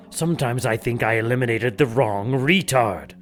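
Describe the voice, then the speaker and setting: A man's voice: medium pitch, measured pace, soft background music, close to an everyday voice. An actor playing a cold, menacing male church leader in an audio drama, threatening and sneering.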